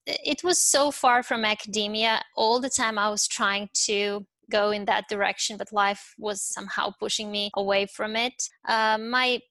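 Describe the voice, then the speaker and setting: Gravelly, even-toned woman with Serbian accent. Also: medium pitch, normal speed, hardly any pauses, no room sound. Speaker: interview guest, university professor. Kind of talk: reflective interview answers.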